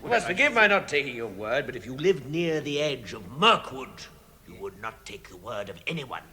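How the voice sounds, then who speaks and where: A man's voice: big pitch swings, moderate pace, crowd noise, natural voice. Man acting a hearty, swooping giant of the wilds. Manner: booming, emphatic, swooping from hearty greetings to grave warnings. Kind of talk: vintage radio drama acting. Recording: vintage radio drama with animal sounds behind.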